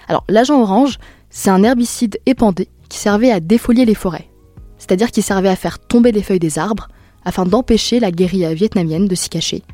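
A woman from France hosting a podcast, reading a scripted introduction clearly and steadily, then asking questions. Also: medium pitch, warm tone, no room sound. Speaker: podcast host.

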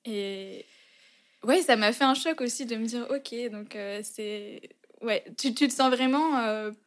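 A woman's voice: on the high side, unhurried. A young woman from France, co-hosting a podcast in casual chat, laughing often and trailing off with fillers. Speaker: podcast co-host.

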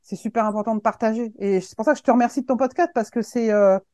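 A woman in her fifties, a guest on a podcast, speaking in a casual, earnest and encouraging way, halting often to restart her sentences. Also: on the high side, warm tone, no echo, clean studio sound. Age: 50s. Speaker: guest, web agency founder.

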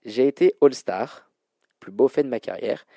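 A man with a mellow, relaxed voice hosts a sports trivia game. His delivery is informal and full of colloquial slang, and the sound is close and dry. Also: mid-range voice, moderate pace, vocal fry, no room sound. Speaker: quiz show host. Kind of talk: hosting a basketball trivia quiz, casual banter.

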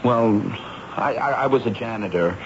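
A man's voice: medium pitch, normal speed, lightly acted. An actor playing a meek, stammering young son in 1970s radio drama, sheepish with his mother. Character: sheepish young son who spins tall tales. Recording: dull, noisy old radio recording.

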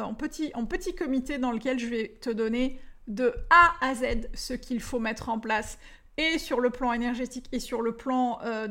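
A woman's voice: on the high side, fairly bright tone. A woman in her thirties from France hosting a solo podcast as a dating coach, talking eagerly to the listener with many fillers and restarts. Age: 30s.

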